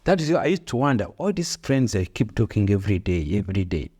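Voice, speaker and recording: Middle-aged Rwandan-accented man, fairly low voice, earnest motivational co-host who repeats himself. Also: very animated, quick pace, darkish tone, husky. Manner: earnest, preachy, repeats phrases, broken English. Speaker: podcast co-host, father. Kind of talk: casual motivational chat.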